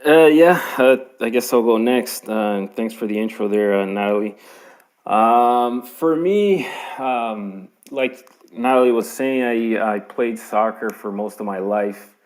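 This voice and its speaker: A man's voice: mid-range voice, a little slow. A young man from Canada introducing himself casually in an online audio chat, rambling with lots of ums. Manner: relaxed and rambling, many ums. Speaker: guest account manager.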